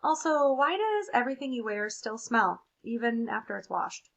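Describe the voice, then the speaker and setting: A woman's voice: fairly high pitch, big pitch swings, moderate pace, creaky, exaggerated voice. A woman voicing an exasperated, sarcastic turquoise crayon complaining in a storybook read-aloud. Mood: exasperated, wry. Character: exasperated turquoise crayon stuck to a smelly sock.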